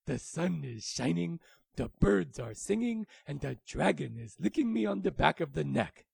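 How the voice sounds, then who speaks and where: Brief: storyteller doing a jolly storybook wolf, loud, gravelly, dry close sound. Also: mid-range voice, sing-song, moderate pace, no room sound, heavily acted. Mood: hearty. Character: cheerful boastful male wolf. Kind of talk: children's storybook reading.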